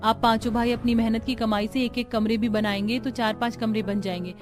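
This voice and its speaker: A woman's voice: a little high, level tone, a little fast, music in the background, lightly acted. A woman voicing practical, outspoken young village daughters-in-law in a cartoon family story. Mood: nagging, annoyed. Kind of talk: Hindi cartoon story dialogue.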